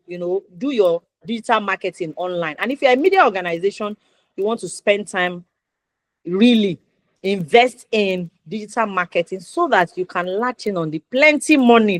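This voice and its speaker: A woman host with a Nigerian accent, recorded dry with very little room sound. She speaks at a middle pitch in a conversational way, stressing and repeating her points as she encourages listeners. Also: no room sound. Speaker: talk show host. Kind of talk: conversational interview show hosting.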